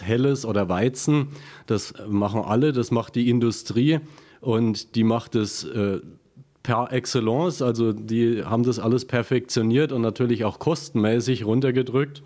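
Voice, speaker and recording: A man from Upper Franconia with a Franconian accent, a small craft brewer explaining his beers in a relaxed interview with many fillers, recorded in a basement brewery room. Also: mid-range voice. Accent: Franconian German. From Upper Franconia, Germany. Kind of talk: casual interview.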